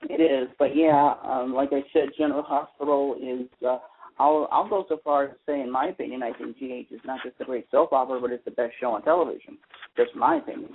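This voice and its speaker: A man's voice: medium pitch, fast talker, slight background noise. An American man chatting casually on a radio show, talkative and opinionated, rambling with emphatic points, heard over a phone line. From United States. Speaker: guest commentator, soap opera fan.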